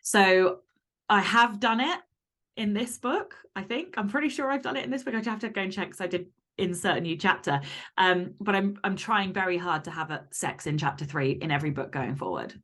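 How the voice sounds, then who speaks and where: A woman's voice: medium pitch, lively intonation, fairly quick, a bit bright. A woman speaking as an author guest in a casual interview, explaining things in a candid, informal and detailed way. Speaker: podcast guest, fiction author.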